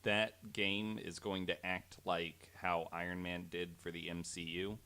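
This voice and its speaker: Man, very deep voice, podcast co-host, casual, rambling, swears a lot. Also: flat delivery, unhurried, pushed and bright, clear, resonant tone. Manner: rambling, profane, enthusiastic. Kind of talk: casual chat.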